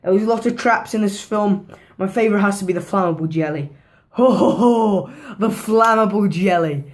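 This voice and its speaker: A woman talks through a horror film in a loose, chatty review, her voice hoarse and worn down. She rambles, restarts her sentences and drops swear words without a second thought. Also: mid-range voice, moderate pace, a little mellow. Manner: rambling, swears freely, repeats herself. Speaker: movie reviewer. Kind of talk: casual movie review vlog.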